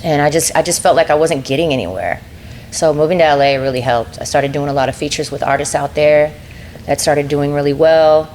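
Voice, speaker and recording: A woman whose first language is Spanish, chatting as a guest in a casual interview. She is animated, laughs often and slips into other voices. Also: low-pitched, a little fast, slight background noise. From North Carolina, USA.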